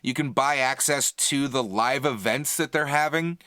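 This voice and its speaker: A man co-hosting a podcast in casual, animated banter about movies and TV, opinionated and freely swearing. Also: mid-range voice, normal speed, dry recording, studio quality. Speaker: podcast co-host.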